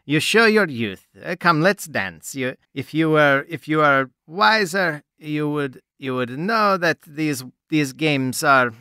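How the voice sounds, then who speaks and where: A man voicing a suave gentleman who puts on foreign airs, teasing and condescending. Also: on the high side, expressive, slow pace, few pauses, no echo, studio quality, voice pushed up, full character voice. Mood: smooth flirtatious. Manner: smooth, teasing, condescending seducer. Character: suave Dutch gentleman with a fake French charm.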